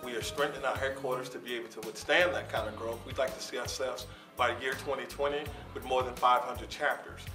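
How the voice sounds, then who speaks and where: A man, founder of an organization, giving steady, measured interview answers full of figures and dates. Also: medium pitch. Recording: roomy sound from a distance with music underneath.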